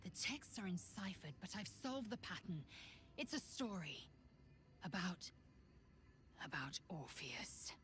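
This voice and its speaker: A woman's voice: on the low side, very animated, slow, pauses often, fairly bright tone, slight background noise, heavily acted. An actress voicing a proud, harsh female githyanki warrior in a video game, speaking in a clipped, grave, disdainful way.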